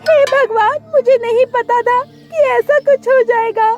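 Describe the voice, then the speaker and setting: A woman's voice: fairly even intonation, measured pace, darkish tone, dull audio, faint music behind. A woman voicing a doting, easily distraught mother bird in a cartoon story, plaintive and dramatic. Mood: distraught, wailing. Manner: high-pitched, plaintive, dramatic. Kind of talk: Hindi cartoon story dialogue.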